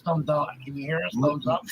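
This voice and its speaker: A man's voice: on the high side, rough recording. A man co-hosting a livestream in casual chat, often repeating short phrases. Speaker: podcast livestream co-host.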